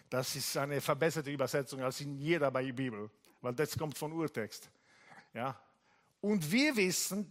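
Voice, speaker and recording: An Italian-accented man preaching at a church service, his voice sitting a bit higher than most men's. He moves between calm teaching and animated storytelling, and his pitch shoots up when he acts out other people's lines. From Italy. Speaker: pastor. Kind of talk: sermon with anecdote.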